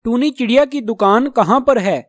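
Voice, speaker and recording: High-pitched eager parrot voiced by a man. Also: normal speed, dry recording, studio quality. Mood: eager, admiring. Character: eager, flattering male parrot customer.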